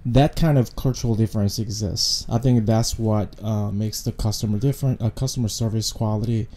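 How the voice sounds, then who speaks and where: A hoarse man in casual podcast chat on a couch, speaking thoughtfully with pauses and fillers into a handheld mic. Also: on the low side, fairly even intonation, warm tone. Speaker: podcast co-host. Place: living room couch. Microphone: handheld mic close to mouth.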